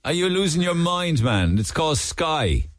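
A man with a Cork Irish accent hosting a radio show, reading listener shout-outs and teasing callers. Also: on the high side, very animated, no room sound, studio quality. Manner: brisk, jokey, teasing. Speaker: radio host. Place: radio studio.

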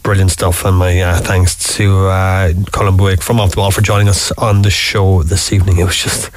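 An Irish man hosting a live sports radio show, chatty and enthusiastic, and quick to laugh. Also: fairly low pitch, no room sound.

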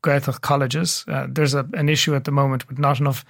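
A man with a Dublin Irish accent, a curator speaking as a radio guest, measured and fact-heavy, with frequent filler sounds. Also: medium pitch, little pitch movement, vocal fry, fairly bright tone. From Ireland. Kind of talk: radio interview, explanatory.

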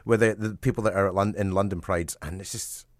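A man's voice: low-pitched, steady tone, a little fast, no echo, studio quality. A Scottish man co-hosting a casual chat, bantering and asking questions. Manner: laughs often, warm banter. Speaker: radio co-host.

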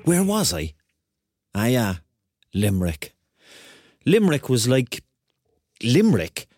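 An actor playing a rambling, excitable thirty-year-old man with a Cork accent, telling his story colloquially in a radio drama. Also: medium pitch, slow, faint music behind. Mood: wry, confiding. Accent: Cork Irish. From Cork, Ireland. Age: 30s. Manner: colloquial, digressive, conversational. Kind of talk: first-person radio drama narration and dialogue.

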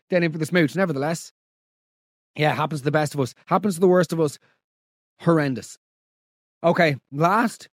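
An Irish man hosting a comedy podcast, reacting to listener stories in an animated, exaggerated, mock-outraged way. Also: a little high, no room sound, studio quality. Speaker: podcast host.

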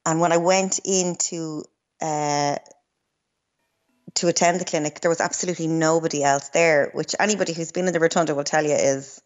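A low-voiced woman in her thirties recounts her experience with many pauses and ums. The sound is slightly dull and muffled, as if it had lost its top end. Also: fairly even intonation. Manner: hesitant, lots of ums, storytelling. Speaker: new mother, guest. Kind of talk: radio interview.

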